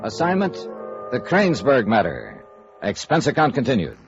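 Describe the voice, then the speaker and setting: A man's voice: medium pitch, wildly swooping pitch, normal speed. A man playing a wry, hard-boiled insurance investigator in 1950s radio noir, clipped and probing. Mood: wry, narrating. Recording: dull vintage radio recording, music.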